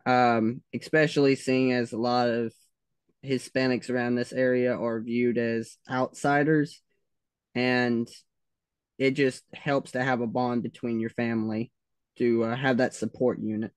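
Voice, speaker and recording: Slow, hesitant Southern man. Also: medium pitch, level tone, clean tone, dry recording. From United States. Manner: slow, hesitant, many pauses and fillers. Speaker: interviewee. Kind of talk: casual interview answers.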